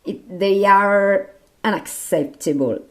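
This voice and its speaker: Very slow, halting woman commentator. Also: mid-range voice. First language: not English. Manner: halting, hesitant, repeats words. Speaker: video host. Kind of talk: unscripted commentary.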